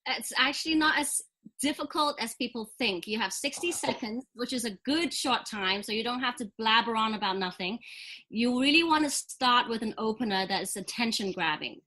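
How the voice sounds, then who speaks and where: A woman's voice: on the high side, expressive, moderate pace, sharp tone, poor audio. A middle-aged woman from Hong Kong, raised in England, with a slight British accent, explaining things conversationally as an interview guest. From born in Hong Kong, raised in England. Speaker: guest entrepreneur and business coach.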